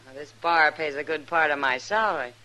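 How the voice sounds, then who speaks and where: A wry, bright-voiced saloon woman. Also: deep voice, fairly quick, muffled recording. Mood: teasing, friendly. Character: worldly, wry Old West saloon woman. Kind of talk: 1950s radio western acting. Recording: noisy old radio recording.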